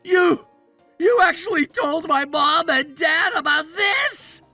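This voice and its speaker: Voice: high voice, animated, slow pace, creaky, sharp tone, dry recording, faint music behind, heavily acted. A performer voicing a cheating husband in a story, whiny, frantic and full of excuses. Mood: horrified.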